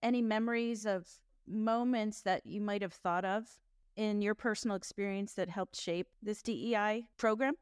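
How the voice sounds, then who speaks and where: A woman hosting a podcast, gently asking probing questions of her guest. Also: mid-range voice, fairly even intonation, moderate pace, clear, resonant tone, no room sound, studio quality. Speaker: podcast host. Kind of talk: interview questions.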